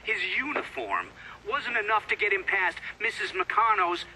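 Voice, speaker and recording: A high, animated questioning official. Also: male voice, moderate pace, a little hiss or hum, heavily acted, rough recording. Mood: skeptical. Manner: formal, probing. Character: formal, skeptical male official questioning a witness.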